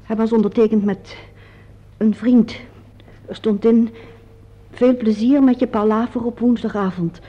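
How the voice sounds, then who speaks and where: Husky-voiced sophisticated woman. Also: a little high, steady tone, unhurried, long pauses. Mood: uneasy. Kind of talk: Dutch radio play acting. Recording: dull lo-fi radio drama recording.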